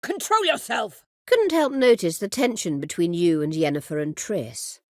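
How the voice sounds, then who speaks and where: An actress playing an imperious, scheming middle-aged sorceress in a video game, speaking formally and with measured, biting sarcasm. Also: female voice, mid-range voice, expressive, darkish tone, dry recording, clean studio sound, close to an everyday voice. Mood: commanding, angry. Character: imperious, scheming sorceress, proud, sardonic and vengeful. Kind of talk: video game character dialogue.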